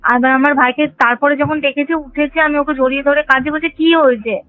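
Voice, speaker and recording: A woman's voice: high voice, steady tone, a little fast, no room sound. A woman caller telling of a haunting over the phone, earnest and scared, rambling as she recalls. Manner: fearful, earnest, rambling retelling. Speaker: caller telling a ghost experience. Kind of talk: phone-in storytelling. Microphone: phone call. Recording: tinny phone line with faint music.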